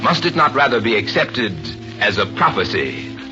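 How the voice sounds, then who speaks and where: A man narrating an old-time radio drama in a formal, deliberate, portentous style. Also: mid-range voice, very animated. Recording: dull old radio recording, music behind.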